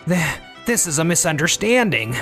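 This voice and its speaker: An actor playing a defensive, middle-aged company executive in disgrace, stammering in a manga dub. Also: male voice, a little high, very animated, moderate pace, fairly bright tone, faint music behind.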